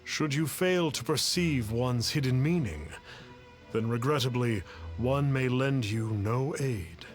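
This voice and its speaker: A man's voice: mid-range voice, slow pace, soft background music, lightly acted. A man voicing an aloof, formal, cryptic self-styled immortal sage in a video game. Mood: aloof, lofty.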